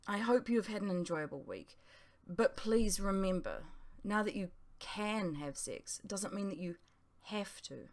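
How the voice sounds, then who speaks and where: A woman voicing a composed, playful female academy teacher in an audiobook, measured and gently instructive. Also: mid-range voice. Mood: kindly. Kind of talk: dramatised audiobook dialogue.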